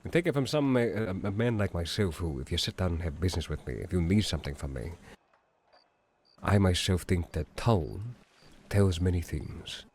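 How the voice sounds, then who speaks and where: A man playing a courteous, slightly pompous immigrant businessman who speaks Mandarin natively, talking formally and deliberately. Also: low voice, lively intonation, darkish tone, husky, full character voice. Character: courteous Chinese immigrant businessman, mayoral hopeful. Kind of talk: roleplay dialogue.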